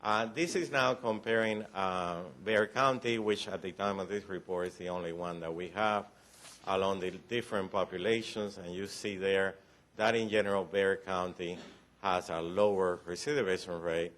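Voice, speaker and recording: A man's voice: low voice, steady tone, normal speed, a bit bright, a little hiss or hum. A man with a Cuban accent briefing county commissioners on recidivism data, explaining patiently with frequent restarts and light joking. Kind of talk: formal briefing. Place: county commission meeting room.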